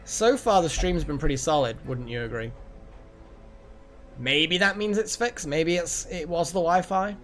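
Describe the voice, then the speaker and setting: A man's voice: on the high side, unhurried, fairly bright tone. A man hosting a live game stream, chatting casually and rambling as he thinks aloud. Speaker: game livestream host. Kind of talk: casual live gaming chat.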